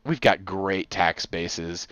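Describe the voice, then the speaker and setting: A man in his forties with an American accent chatting casually on a podcast, riffing in an excitable, profane, slangy way full of exaggeration. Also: deep voice, takes it slowly. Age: 40s. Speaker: podcast co-host. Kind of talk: casual comedic podcast chat.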